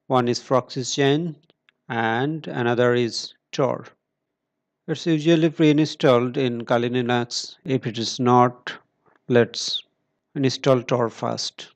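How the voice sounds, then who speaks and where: Slow, steady man walking through a tutorial. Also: mid-range voice. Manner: slow, step-by-step instructions with pauses and fillers. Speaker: tutorial presenter. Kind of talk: screen-recorded tech tutorial.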